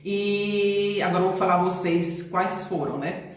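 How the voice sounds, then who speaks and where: A woman's voice: medium pitch, fairly even intonation, measured pace. A middle-aged Brazilian woman with a Brazilian Portuguese accent, chatting casually to camera in a rambling, easygoing way with many fillers. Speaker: vlogger. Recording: very echoey room, mic far off.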